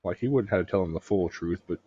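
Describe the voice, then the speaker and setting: A man's voice: sits low, fast talker, breathy edge, no room sound, lo-fi sound. A man giving casual live commentary as he streams a video game. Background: game music.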